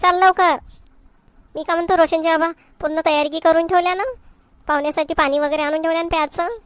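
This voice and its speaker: A woman's voice: extremely high pitch, steady tone, fast, no echo. A woman voicing a dutiful village mother in a cartoon family comedy, with a rural Maharashtrian accent. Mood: calling out. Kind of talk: homemade cartoon comedy dialogue.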